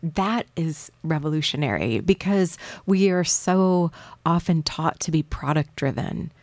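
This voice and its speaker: A woman's voice: sits low, animated, a little slow, darkish tone, no room sound. A woman interviewing a guest, enthusiastic and affirming, often agreeing eagerly. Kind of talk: conversational interview.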